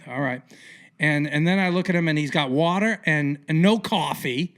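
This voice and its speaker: A man hosting a casual podcast interview in a colloquial, theatrical way with punchy, emphatic phrasing and a gravelly voice. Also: on the high side, moderate pace, a bit bright. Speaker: podcast host.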